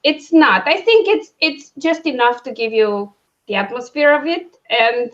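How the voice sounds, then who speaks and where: A woman with a fairly high voice talks casually as a book discussion host. She works out her opinions aloud in broken, halting phrases. The recording sounds slightly dull and lo-fi. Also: animated.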